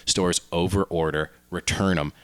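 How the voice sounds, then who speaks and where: A man with a fairly low voice co-hosts a casual podcast. He talks informally, like someone pitching ideas to friends, and feels his way through the story in a halting manner. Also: steady tone, smooth. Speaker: podcast co-host.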